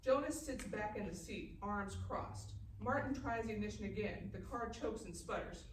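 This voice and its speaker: Picked up at a distance in an echoey room with faint noise, a woman reads scripted stage directions. Her pitch is medium, in a narrator's delivery. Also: moderate pace. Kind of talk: scripted narration at live table read. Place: live reading in a festival room.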